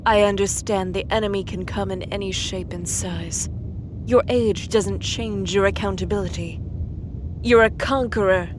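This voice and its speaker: Vengeful dragoness for fantasy audio drama, played by a woman: mid-pitched, cold, accusing, clear background noise. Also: fairly even intonation, moderate pace, natural voice. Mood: cold accusation. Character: stern, vengeful adult dragoness goddess.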